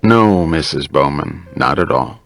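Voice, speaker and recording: A man's voice: rumbling low, lively intonation, measured pace, creaky, a bit bright, pitched below the performer's own voice, full character voice. A man voicing an affable American field biologist in an audiobook, easygoing yet precise and assured.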